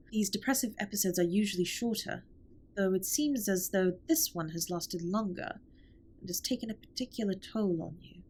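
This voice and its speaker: A woman playing a formal, earnest, caring alien spaceship captain in a scripted comfort roleplay, speaking softly and breathily with measured, precise wording. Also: on the low side, creaky, breathy edge. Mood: gentle, sympathetic. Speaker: audio roleplay performer. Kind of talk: scripted comfort roleplay, intimate one-sided dialogue.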